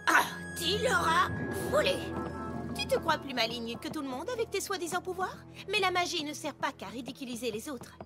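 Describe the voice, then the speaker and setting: A woman's voice: extremely high pitch, sing-song, moderate pace. A woman voicing a vain, refined young unicorn with an affected, melodramatic manner in a French cartoon dub. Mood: prim, indignant. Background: music.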